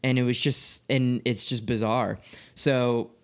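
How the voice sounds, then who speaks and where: A man in his late twenties with an average-pitched voice talks loosely and informally as a podcast co-host. He tells stories in an animated way, voicing the dialogue he quotes and swearing freely. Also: a little slow, clear, resonant tone. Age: late 20s. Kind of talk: casual chat, telling personal anecdotes.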